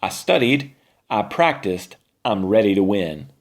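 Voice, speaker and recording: A man's voice: lowish voice, very animated, unhurried. Man playing a determined young witch girl, slightly raised. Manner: resolute, declaring. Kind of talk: children's storybook read-aloud.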